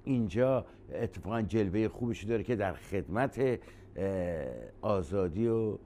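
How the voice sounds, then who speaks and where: Low, mellow-voiced man, halting. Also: slight background noise. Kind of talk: considered interview talk.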